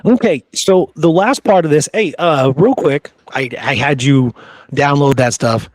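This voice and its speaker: A man's voice: a little high, a little slow, dry recording. Casual male podcast host, dry close sound. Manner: informal, conversational. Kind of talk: casual history discussion.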